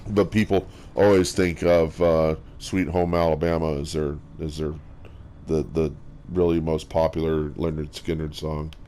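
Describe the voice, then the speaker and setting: A man with a strong bass voice, chatting casually and haltingly as a streamer, with lots of fillers and restarts. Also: flat delivery, mellow, slight background noise. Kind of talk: casual rambling chat.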